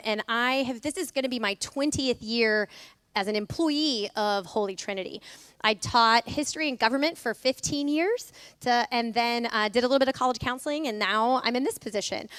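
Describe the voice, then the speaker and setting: A middle-aged woman from the United States, a school administrator giving a casual welcome talk to parents into a microphone. She has a loud voice and talks chattily, with many fillers and asides. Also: fairly high pitch, clear, resonant tone, dry recording.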